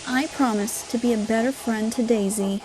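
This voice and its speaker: A woman's voice: a little high, steady tone, normal speed, background noise, lightly acted. A woman voicing a sweet, earnest female tank engine in an audio drama, speaking politely. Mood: apologetic. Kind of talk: audio drama character reading. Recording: music behind the voice.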